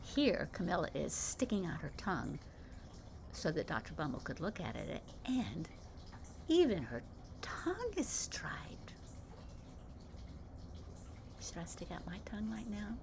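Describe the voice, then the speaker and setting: A woman's voice: on the low side, unhurried, gravelly, long pauses, noisy background. An older woman, a grandmother, reading a picture book aloud and chatting about the pictures between pages. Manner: warm storytelling, comments on the pictures, lively.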